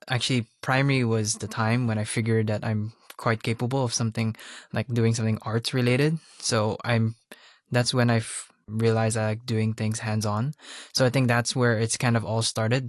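A young man from Hong Kong answering interview questions in a relaxed, rambling way. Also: medium pitch, no room sound, studio quality. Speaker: interview guest.

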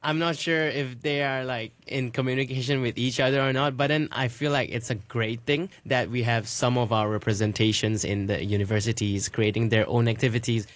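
A man's voice: mid-range voice, fairly even intonation, fairly quick, few pauses, no room sound. A man co-hosting a casual interview, speaking in an easygoing, slightly rambling way. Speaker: co-host.

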